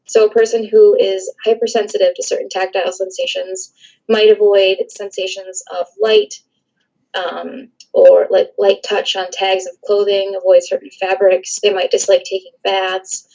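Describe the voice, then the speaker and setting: A young woman from the Chicago suburbs reading a prepared class presentation over a video call, steady, with frequent ums and small restarts. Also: mid-range voice, moderate pace, dull audio. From northern suburbs of Chicago. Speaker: student presenter. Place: small room indoors. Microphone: laptop webcam on a video call.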